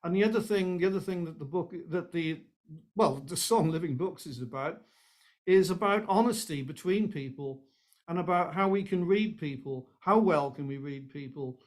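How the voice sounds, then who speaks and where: A man's voice: on the high side, normal speed. A man, a singer-songwriter guest chatting casually in a rambling, repetitive way. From Wales. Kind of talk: casual chat.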